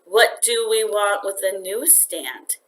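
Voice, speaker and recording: Woman voicing a mild, bright-toned peaceful father. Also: mid-range voice, takes it slowly, roomy sound. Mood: quietly firm. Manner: soothing, mild. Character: peaceful, kindly, quietly resigned father.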